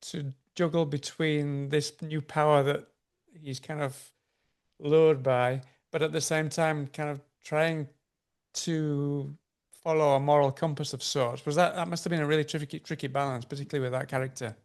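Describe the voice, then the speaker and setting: A man with a somewhat high voice hosts a casual interview. He asks long, curious questions and often circles back and restarts his sentences. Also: level tone, normal speed, clear, resonant tone. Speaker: interview host.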